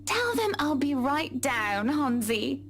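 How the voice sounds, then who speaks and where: A man puts on a glamorous mansion owner from a visual novel, a gracious, theatrical hostess. The voice sits high. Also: sing-song, takes it slowly, fairly bright tone, faint music behind, full character voice.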